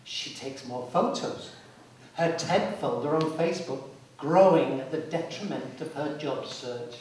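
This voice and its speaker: Male narrator for live story drama, slightly high voice, deliberate delivery, very reverberant and noisy recording. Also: a little mellow. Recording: distant, very echoey hall, clear noise.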